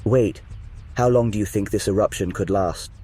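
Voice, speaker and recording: A man playing a gruff, irritable fire chief with a curt, commanding manner in an audiobook. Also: lowish voice, normal speed, warm tone, faint music behind. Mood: brisk, firm. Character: gruff, irritable male fire chief running a disaster response. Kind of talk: audiobook character dialogue.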